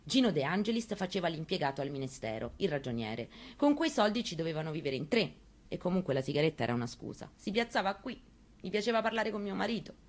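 An actress performing a first-person theatrical monologue reading, in a measured, intimate and quietly intense way. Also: female voice, on the low side. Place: radio broadcast of a stage reading.

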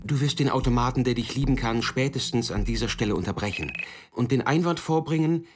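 A man playing an explainer in a literary radio play, emphatic and didactic, addressing the listener directly in a husky voice. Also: medium pitch, a little fast, over music, natural voice. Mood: pointed, knowing. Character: male explainer speaking for a loving automaton.

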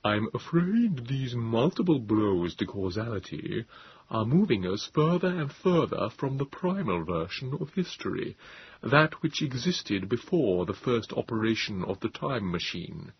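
A man doing a slow, solemn Morlock. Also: medium pitch, very animated, slightly rough, exaggerated voice. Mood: grave. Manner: slow, measured, grave. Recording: dull, dry lo-fi sound.

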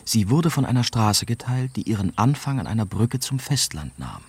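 A man's voice: fairly low pitch, sing-song, moderate pace, breathy edge, no room sound, heavily acted. A man playing a young journalist who narrates his own adventure in a scripted radio drama. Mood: tense, factual. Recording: music behind the voice.

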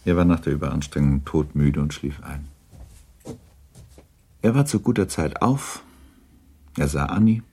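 An extremely low male voice with a husky edge narrates a literary radio play. The delivery is sober and measured, with a dark tone.

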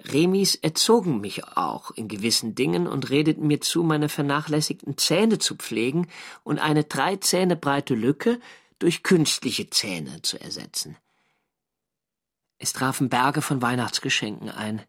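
Young navy diarist, mid-pitched, measured literary radio drama narration. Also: male voice, very animated. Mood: reflective. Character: young German navy sailor, diarist recounting war service. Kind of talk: scripted first-person radio drama narration.

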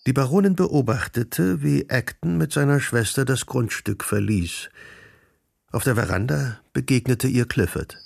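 A man narrating a literary radio drama in a measured storytelling manner, with a creaky voice. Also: medium pitch, a little mellow, dry recording, clean studio sound.

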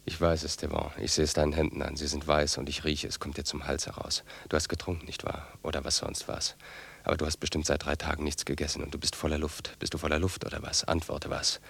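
Very deep, gravelly, goading brother. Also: male voice, monotone, fairly bright tone, heavily acted. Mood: probing, insistent. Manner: insistent, rapid questioning with repeated words. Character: rough, goading man pressing his brother with questions. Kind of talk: German radio drama acting.

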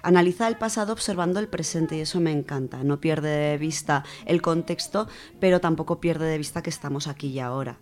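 A woman's voice: sits low, fairly quick, darkish tone. A woman with an accent from Spain presenting a literary radio segment in a conversational, enthusiastic, semi-scripted way.

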